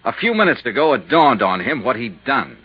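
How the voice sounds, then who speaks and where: An actor playing a tough, persistent insurance investigator in a 1950s radio drama, with a blunt, pressing, hard-boiled delivery. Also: male voice, medium pitch, very animated, fairly quick, slightly rough. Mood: pressing, grim. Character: tough, persistent male insurance investigator narrating his case. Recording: muffled vintage 1950s radio recording.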